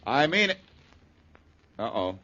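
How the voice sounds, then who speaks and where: An actor playing a confident, quick-witted amateur detective in a 1940s radio drama. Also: male voice, mid-range voice, big pitch swings, sharp tone, full character voice. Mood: grim, urgent. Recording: muffled, noisy 1940s radio broadcast.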